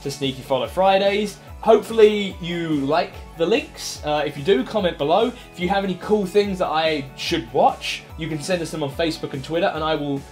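A man's voice: a little high, fairly quick, few pauses, darkish tone. A man in his thirties talking in a casual, chatty, enthusiastic way to camera as a video host, giving recommendations. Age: 30s. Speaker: host of an online video show. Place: small furnished room indoors. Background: background music.

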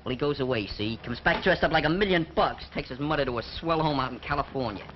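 An actor playing a brash young street kid who dreams of becoming a jockey, talking tough slang in 1940s film style. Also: male voice, on the high side, fast, close to an everyday voice. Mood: boastful. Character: cocky street kid set on being a jockey. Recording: dull old film soundtrack with hiss.

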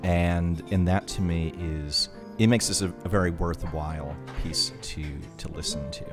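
Deep-voiced, reflective man over guitar music. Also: steady tone, a little slow. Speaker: podcast co-host. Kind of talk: conversational literary analysis.

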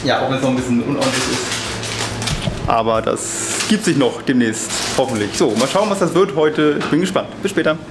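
A man's voice: mid-range voice, fairly quick, heavy background noise. A cheerful, chatty man in his thirties filming a vlog in a tiled restaurant kitchen on a handheld camera, laughing often. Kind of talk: casual vlog chat. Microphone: handheld camera mic. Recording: echoey kitchen with loud clatter.

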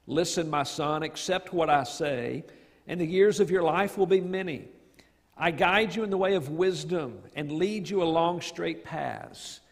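A middle-aged man, a pastor, reading scripture and praying in public with solemn, deliberate phrasing. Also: on the high side, vocal fry. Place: large church sanctuary, in front of congregation. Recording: echoey church hall, long reverb.

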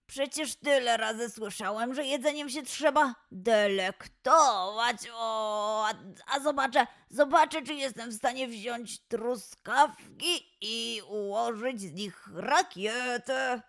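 Woman playing a high, creaky little lion cub boy. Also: slow, a bit bright. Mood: dreamy, playful. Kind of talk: children's audio story acting.

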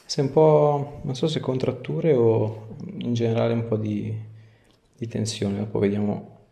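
A man's voice: medium pitch, fairly even intonation, unhurried, gravelly, warm tone. A man, a chiropractor giving short instructions and questions during an exam. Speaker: chiropractor and video host. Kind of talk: clinical exam talk with patient. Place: small tiled clinic treatment room. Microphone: lapel clip-on. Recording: echoey small room.

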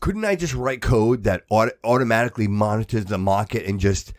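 A man hosting a podcast in casual chat, excitable and insistent, often repeating himself to make his point. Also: on the low side, a little fast, no echo, clean studio sound. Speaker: podcast host.

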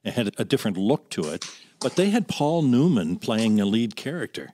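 A man's voice: medium pitch, sing-song, moderate pace, dark tone, slight background noise. A man hosting a podcast, interviewing a guest in a conversational style. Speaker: podcast host.

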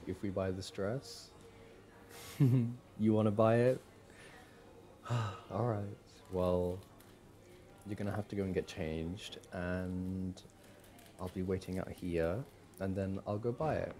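A man acting as a smitten young boyfriend in an audio roleplay, speaking in a lowish, unhurried voice. He is affectionate and halting, doting on his partner, with faint noise behind him. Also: warm tone. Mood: fond, playful. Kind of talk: boyfriend ASMR roleplay, acted one-sided conversation.

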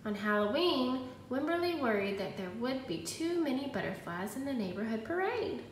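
A woman with a high, very animated voice talks to young children like a teacher at storytime. She is expressive and clearly instructive. The room adds noticeable echo around her. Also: normal speed. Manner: expressive, instructive, prompting listeners with questions. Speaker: primary school teacher. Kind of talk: picture-book read-aloud for young children. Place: indoors in a room at home. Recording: roomy echo, faint background noise.

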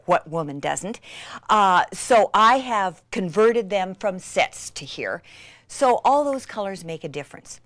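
A middle-aged woman presenting a chatty craft tutorial in an excitable, gushing manner with dramatic emphasis. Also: medium pitch, measured pace, dry recording.

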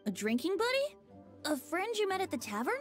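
A man's voice: very high voice, huge pitch swings, a little fast, exaggerated voice. A performer voicing a playful, carefree young male bard in a video game. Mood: teasing. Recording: game audio with soft music.

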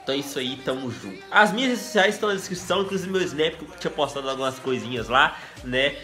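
A young man from Brazil chatting casually in a home vlog, excitable and laughing, with a stuffy nose from a cold. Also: medium pitch, quick pace, soft background music. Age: young adult. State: congested with a cold. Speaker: gaming youtuber. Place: small room at home.

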